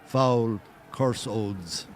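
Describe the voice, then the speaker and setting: A man narrating an audio play as a solemn storyteller, in a poetic, literary and measured style. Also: medium pitch, a little hiss or hum. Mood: grave. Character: solemn storyteller of a historical shipwreck tale.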